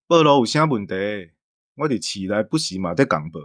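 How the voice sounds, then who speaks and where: A man's voice: on the low side, sing-song, a little fast, a bit bright. A man with a Taiwanese accent co-hosting a show in a conversational, questioning way. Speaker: co-host.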